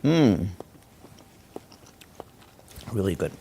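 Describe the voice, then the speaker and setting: A man's voice: lowish voice, big pitch swings, very slow, vocal fry, mellow. A man walks viewers through a home cooking demo, chatty and encouraging as he tastes the food. His voice moves up and down a lot. The video call audio carries a faint hiss. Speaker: home cook presenter. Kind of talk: casual cooking demonstration. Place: home kitchen. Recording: faint background noise.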